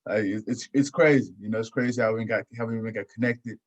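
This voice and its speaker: A man's voice: fairly low pitch, quick pace. A man hosting a podcast in casual chat, often repeating his phrases. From Houston area, United States. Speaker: podcast host. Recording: dull, lo-fi audio.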